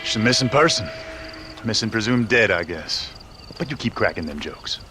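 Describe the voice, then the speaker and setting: A man's voice: on the low side, animated, normal speed, noisy background, close to an everyday voice. An actor playing a stern, weary lawman in a frontier video game, plain-spoken, humourless and reproachful. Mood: sour, reproachful. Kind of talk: dramatic video game acting.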